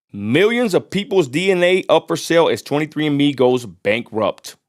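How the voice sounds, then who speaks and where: Blunt, profane West Texas man ranting. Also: mid-range voice. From Texas, USA. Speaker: livestream host. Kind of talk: casual ranting livestream commentary.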